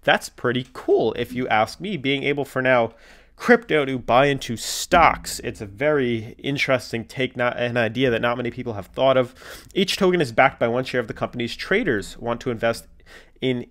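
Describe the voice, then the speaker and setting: A man's voice: mid-range voice, lively intonation, moderate pace, a bit bright. A man hosting a casual cryptocurrency video, sounding congested from a cold and talking loosely with lots of fillers. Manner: filler-heavy, loose, reads articles aloud. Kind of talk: casual market commentary to camera.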